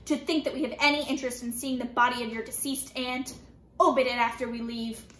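A woman's voice: high-pitched, flat delivery, moderate pace, fairly bright tone. A woman voicing a cold, condescending male Nazi officer with an accent, reading a storybook aloud in an echoey room with faint background noise. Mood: contemptuous.

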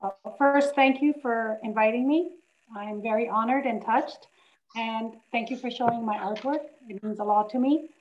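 Woman, 60s, higher register, earnest, reflective guest on a muffled video call. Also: background noise. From Greece, raised in Canada. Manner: earnest, reflective, slightly halting. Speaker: guest artist. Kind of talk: personal storytelling on a webinar. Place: home living room, indoors. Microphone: video call, laptop camera.

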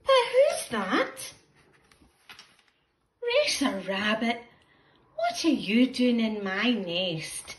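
A surprised little storybook animal, put on by a woman reader, scolding whoever took its bed. The questions are exaggerated and sing-song. The pitch is high and leaps around, and the pace is slow. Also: sing-song, echoey room, full character voice.

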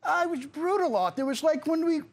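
A man's voice: very high voice, lively intonation, fast talker. Man doing a bawdy old English nanny. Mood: indignant. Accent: put-on English. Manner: rambling, flirty, keeps saying Arthur. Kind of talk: improvised comedy bit.

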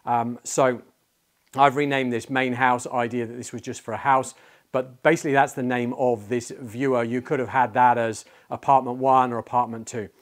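Middle-aged man demonstrating tech, conversational and halting. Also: medium pitch, flat delivery. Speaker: tech reviewer, presenter. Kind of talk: casual product demonstration and walkthrough. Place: small indoor studio office. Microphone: lapel mic.

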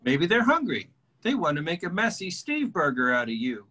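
A man's voice: a little high, huge pitch swings, moderate pace, bright, forceful tone, exaggerated voice. A man reading a goofy joking bar regular. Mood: teasing. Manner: silly, sing-song teasing. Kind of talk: amateur table read over video call.

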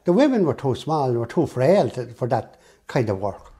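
Husky older man, halting speech. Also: mid-range voice, sing-song, warm tone. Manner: halting, repeats words. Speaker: interviewee, former gravedigger. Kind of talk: interview testimony. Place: small office indoors.

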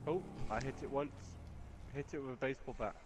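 A man's voice: mid-range voice, fast. A man chatting casually as he plays an online game, heard over a noisy voice chat. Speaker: video game player.

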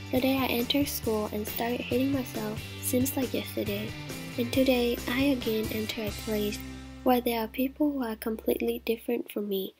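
Young Vietnamese woman narrating softly over music. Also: fairly high pitch. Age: young adult. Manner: soft, reflective reading, often breathy and whispery. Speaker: digital story narrator. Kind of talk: scripted personal narration. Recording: voice-over with clear music behind.

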